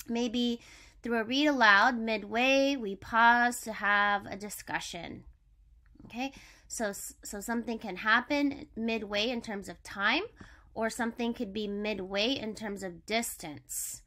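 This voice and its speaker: A woman's voice: fairly high pitch, unhurried, sharp tone. A woman elementary school teacher giving a vocabulary lesson to children, explaining step by step with simple examples.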